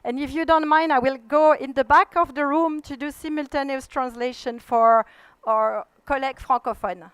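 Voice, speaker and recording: A woman moderating a conference panel, speaking haltingly with many fillers and restarts. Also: sits high, steady tone, fairly bright tone, no room sound. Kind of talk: conference presentation.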